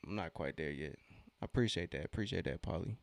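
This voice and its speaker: A man's voice: low voice, expressive, fast, gravelly, no room sound. A thirty-year-old man hosting a sports watch-along stream, chatting casually in a laid-back, slangy way between bits of commentary. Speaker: livestream watch-along host.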